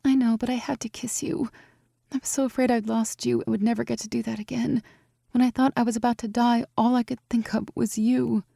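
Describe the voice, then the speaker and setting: A woman voicing an emotional, excited young winged heroine in a storybook. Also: on the high side, brisk, creaky, no room sound, clean studio sound, voice pushed up. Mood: tender.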